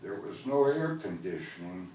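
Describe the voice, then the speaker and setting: A man from Canada reading literary prose aloud at a live event, in measured, deliberate phrases with pauses. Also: deep voice, very animated, warm tone. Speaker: author reading his own work. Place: indoor event venue, recorded from the audience. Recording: distant, echoey live-venue recording with faint noise.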